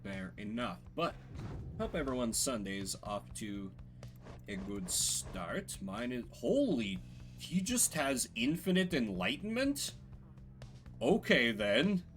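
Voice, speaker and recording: A man's voice: medium pitch, very animated, takes it slowly. A man streaming a video game, giving casual commentary as he thinks aloud. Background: game music.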